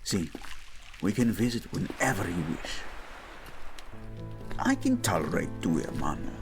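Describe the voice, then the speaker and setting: Guy doing a devoted noble husband, proud but tender, dramatic n slow, music under it. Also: deep voice, wildly swooping pitch, gravelly, exaggerated voice. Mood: gentle, reassuring. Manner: dramatic, formal, with Spanish endearments. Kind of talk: romantic audio drama acting. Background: background music.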